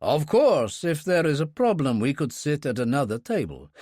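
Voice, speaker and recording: A man voicing a suave Russian gentleman with a slight Russian accent, courtly and ironic, in an audiobook story. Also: very animated, moderate pace, dry recording, clean studio sound. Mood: cool, insistent. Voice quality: pleasantly deep. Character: suave Russian man, charming, lazily insolent, self-mocking.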